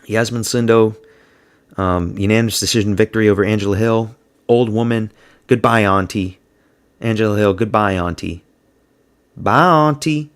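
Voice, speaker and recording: A man's voice: fairly low pitch, moderate pace, long pauses, clear, resonant tone. Blunt, crude young-sounding man reviewing fights. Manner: blunt, crude and provocative, with frequent slang. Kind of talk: casual solo commentary.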